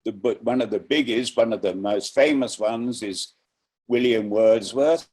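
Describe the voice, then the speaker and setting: A man talking informally and reflectively on a video call, unscripted, pausing and picking his words as he introduces something. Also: medium pitch, a little mellow, breathy edge. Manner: slow, reflective, halting with pauses. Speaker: poetry reader introducing an event.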